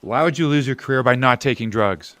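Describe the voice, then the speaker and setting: A man's voice: medium pitch, fairly quick, fairly bright tone, no echo, lightly acted. A man acting in an audio drama as a bitter, struggling family man and older brother, earnest and pressing. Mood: confrontational.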